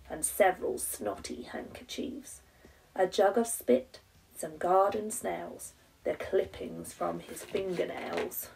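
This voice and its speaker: A woman's voice: on the low side, vocal fry, a little mellow, distant mic, rough recording. A woman in her thirties with an English accent, a primary teacher reading a rhyming children's story with dramatic emphasis.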